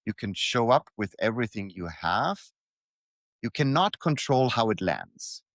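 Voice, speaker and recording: Man with expressive, swooping intonation, dry close sound. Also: mid-range voice, no room sound. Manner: deliberate, short phrases with emphatic repetition. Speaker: writer reading own newsletter aloud. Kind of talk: reflective motivational monologue.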